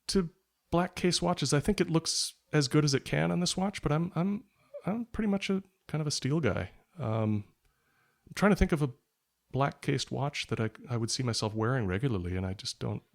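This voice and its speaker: A man's voice: fairly high pitch, animated, fairly quick, gravelly. A man being interviewed as a podcast guest, chatting casually and thinking aloud in a rambling, hesitant way. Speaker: podcast guest, writer and product journalist. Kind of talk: casual conversational interview chat.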